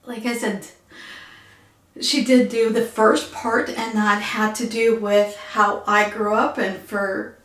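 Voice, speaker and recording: A woman with a Ukrainian accent, talking casually and softly in a personal vlog, pausing often with fillers. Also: mid-range voice, little pitch movement, reverberant. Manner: soft-spoken, halting with fillers. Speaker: vlogger.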